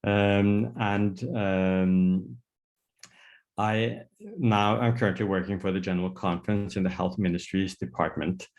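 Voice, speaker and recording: A man's voice: low-pitched, steady tone, a little slow, darkish tone, highs cut off. Hesitant Norwegian man giving a talk. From Norway. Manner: hesitant, many fillers and restarts. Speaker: psychiatrist giving a workshop talk. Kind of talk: online workshop presentation. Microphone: video call.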